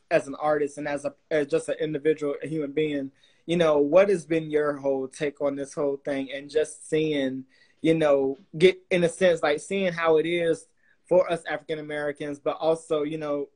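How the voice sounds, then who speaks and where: A man's voice: a little high, a little mellow. A young man hosting a casual interview over a video call, effusive and full of fillers and restarts. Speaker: podcast host. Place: small room indoors.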